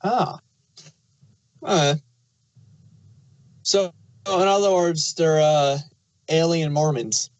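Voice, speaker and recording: A panelist talks in a relaxed, conversational style on a review podcast. The voice sits at a middle pitch, recorded very dry with no room sound. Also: very slow, pauses often, no room sound. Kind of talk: casual chat.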